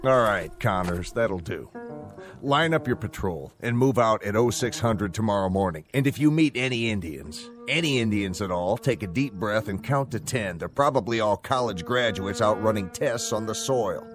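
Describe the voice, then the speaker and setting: A sardonic army officer, voiced by an actor, in a low, steady register. He speaks with clipped authority and open mockery. Soft background music lies under the dialogue of this drama. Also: moderate pace, natural voice. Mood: sarcastic. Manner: sardonic, mocking. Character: gruff skeptical army officer, sarcastic and dismissive. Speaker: commanding officer. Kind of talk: 1960s television drama acting.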